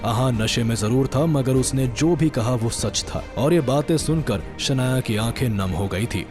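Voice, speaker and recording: A man's voice: medium pitch, expressive, a little slow. A man narrating a scripted dramatic story. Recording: music under the voice.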